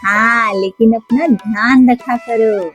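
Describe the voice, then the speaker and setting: A woman's voice: a little high, fairly even intonation, slow pace, fairly bright tone, soft background music. A woman voicing a kind, caring female animal doctor in a children's toy cartoon story.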